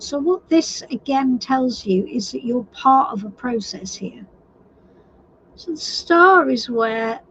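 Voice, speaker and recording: A woman with a croaky, husky voice giving a live tarot reading, unhurried and reassuring as she thinks aloud. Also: sits high, muffled recording. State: hoarse. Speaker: tarot reader.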